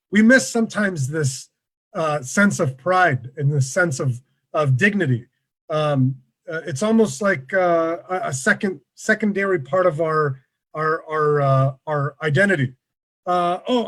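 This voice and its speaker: A man presenting an online workshop over a video call, relaxed and conversational, with many fillers. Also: high-pitched. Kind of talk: casual online lecture.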